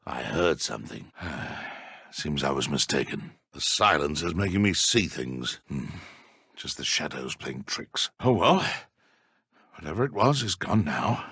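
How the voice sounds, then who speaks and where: An actor playing a bored dark wizard sentry in a video game, muttering and grumbling in a gravelly voice. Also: male voice, sing-song, unhurried, no room sound, clean studio sound, heavily acted. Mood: puzzled, resigned. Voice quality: very deep, gravelly. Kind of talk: video game patrol barks.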